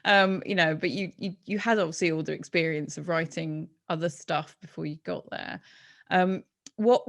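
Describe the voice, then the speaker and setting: Creaky, lowish-voiced woman interviewer. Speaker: interviewer, podcast host. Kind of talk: casual conversational interviewing.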